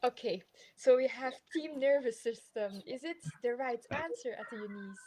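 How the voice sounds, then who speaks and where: A woman's voice: fairly high pitch, normal speed, darkish tone, slight background noise. A woman hosting a live radio program, cheerful and polite, laughing often. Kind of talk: casual live radio chat.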